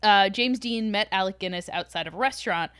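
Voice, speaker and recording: A woman's voice: medium pitch, brisk, fairly bright tone, smooth, no room sound, clean studio sound. A woman hosting a podcast, telling stories in a casual, jokey, sweary way. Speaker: podcast host. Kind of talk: casual storytelling chat.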